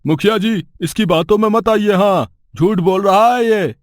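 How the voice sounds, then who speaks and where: A man playing an agitated villager in a story, loud, urgent and accusing. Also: sits high, normal speed, no room sound, natural voice. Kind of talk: dramatic story dialogue.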